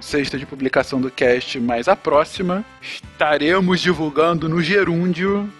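Higher-pitched Carioca man, jokey podcast host. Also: normal speed, fairly bright tone, soft background music. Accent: Carioca (Rio de Janeiro). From Brazil, moved very early to Rio de Janeiro. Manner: informal, jokey. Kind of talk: casual chat.

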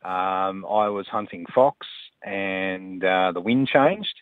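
A man from New Zealand calling a radio show by phone, telling a story in a halting, solemn, insistent way. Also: low-pitched, no echo. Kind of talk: casual anecdote telling. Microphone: telephone. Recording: tinny phone line.

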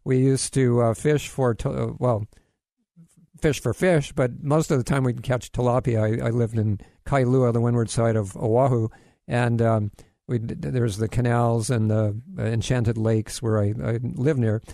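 A man originally from Hawaii hosts a casual radio health talk at an ordinary mid pitch. He rambles through tips with frequent fillers, restarts and corny jokes. The sound is dry, with no room behind him. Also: fairly even intonation, a little slow, creaky, dark tone, dry recording. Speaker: radio health show host.

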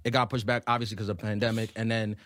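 A man's voice: on the low side, level tone, very fast, a bit bright. A man with a New York accent, a comedian guest telling stories in casual, slangy conversation. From Queens, New York City. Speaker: stand-up comedian, interview guest.

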